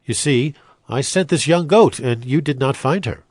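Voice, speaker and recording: An actor playing a commanding biblical patriarch in a dramatized scripture reading, with formal, declamatory delivery. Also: male voice, medium pitch, lively intonation, normal speed, a little mellow, no echo, clean studio sound, lightly acted. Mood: resigned. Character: Judah, commanding biblical patriarch, grown man.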